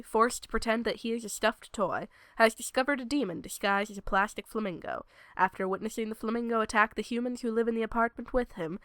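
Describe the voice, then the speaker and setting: A woman reads a scripted radio serial recap as narrator. Her voice is fairly high and recorded close and dry. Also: bright, forceful tone, dry recording.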